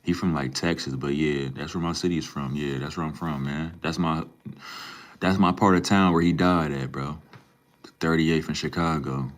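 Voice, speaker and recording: Very deep-voiced laid-back male streamer. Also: normal speed. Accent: Minnesota. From Minneapolis, Minnesota. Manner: laid-back, relaxed slang, calls viewers bro and man. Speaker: livestreamer. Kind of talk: casual live stream chat, reading and answering viewer comments.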